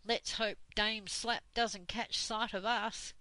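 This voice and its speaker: A woman's voice: medium pitch, steady tone, slow pace, sharp tone, dry recording. A woman voicing Moonface, a cautious male storybook creature who frets and groans, read aloud. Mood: worried. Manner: fretful. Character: anxious cautious male fairy-tale creature.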